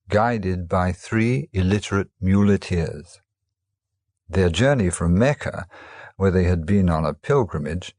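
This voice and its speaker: A man narrating traditional teaching stories as a storyteller, in a measured, formal manner. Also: deep voice, darkish tone, dry recording, studio quality. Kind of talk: scripted narration of teaching tales.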